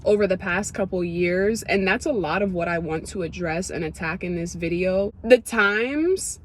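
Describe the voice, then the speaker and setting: Young woman, from Ethiopia, casual vlog talk, emotional, excitable, many fillers. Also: lowish voice, moderate pace, slight background noise. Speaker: vlogger. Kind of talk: casual testimony to camera.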